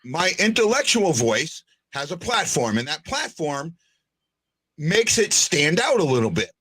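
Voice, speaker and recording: A man's voice: a little high, sing-song, measured pace, crowd noise. A man hosting a sports podcast in casual chat, often hesitating, restarting sentences and checking in with "right?". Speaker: podcast host.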